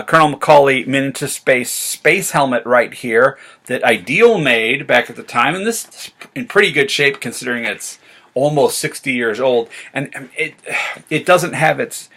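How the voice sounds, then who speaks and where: An American man talking casually to camera as a vlog host, in a rambling, enthusiastic fan's manner. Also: mid-range voice. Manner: rambling, excited fan talk. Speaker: vlog host and science fiction reviewer.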